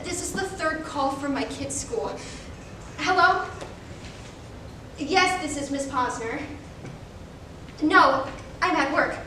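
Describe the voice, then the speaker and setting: A woman playing an anxious, apologetic working mother in live reader's theater, with a flustered, halting delivery. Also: pushed and bright, heavily acted. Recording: distant, very echoey room, noisy rumble.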